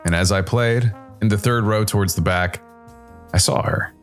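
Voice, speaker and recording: A man acting as weary jazz musician, very low voice, somber noir narration, deliberate phrasing, music behind. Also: natural voice. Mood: wistful, reflective. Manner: brooding, deliberate, dramatic phrasing. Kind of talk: scripted noir first-person narration.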